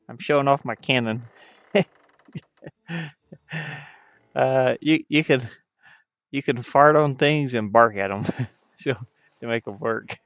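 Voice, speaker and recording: Male indie developer streamer, low and slow-paced, casual and hesitant, trailing off; dull, close stream audio. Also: a bit bright, clear, resonant tone, no echo. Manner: hesitant, halting, frequently trailing off. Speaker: game developer livestreaming.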